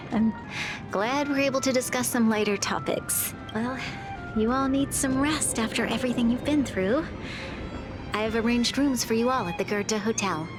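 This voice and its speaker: A woman's voice: on the high side, unhurried, natural voice. An actress voicing an earnest, courteous young woman who leads a city in a video game, speaking sincerely. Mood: relieved, hospitable. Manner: formal, sincere. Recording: game music behind the voice.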